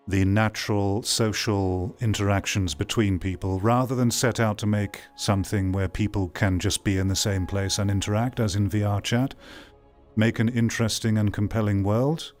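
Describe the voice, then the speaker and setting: A man's voice: on the low side, darkish tone. A British man giving casual, critical reaction commentary in a blunt, sardonic manner.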